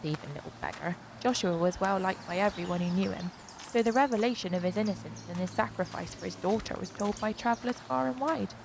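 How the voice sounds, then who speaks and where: Woman acting chatty local storyteller, wry and teasing, creaky voice, audio drama, faint countryside background noise. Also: medium pitch, fairly quick, close to an everyday voice. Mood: eager storytelling. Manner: wry, lively, colloquial. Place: outdoors.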